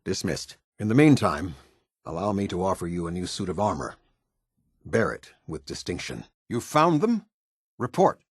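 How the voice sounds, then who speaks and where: A man voicing a stern, disciplined military captain in a video game, with a husky voice and a clipped, commanding, formal delivery. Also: deep voice, expressive, normal speed, a little mellow, no room sound, studio quality. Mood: brisk, authoritative. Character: stern male military captain, disciplined, exacting, loyal to his order.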